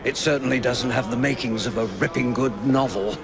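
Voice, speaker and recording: An actor playing a charming, self-deprecating Victorian writer of lurid stories, wordy and theatrical, in a video game. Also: male voice, medium pitch, fairly quick, creaky, lightly acted. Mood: wry, florid. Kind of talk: video game cutscene acting. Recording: noisy outdoor city ambience.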